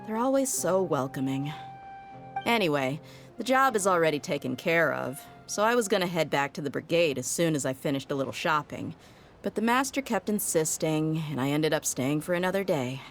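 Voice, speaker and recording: A low-pitched female mercenary, tough yet easygoing. She talks frankly and casually to friends, anime game style, with music underneath. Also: expressive, normal speed. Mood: friendly.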